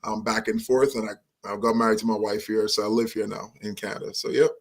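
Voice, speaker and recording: A man from Trinidad and Tobago, a guest musician talking in a friendly, relaxed way about his start in music. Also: on the low side, a little fast. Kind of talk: casual interview talk.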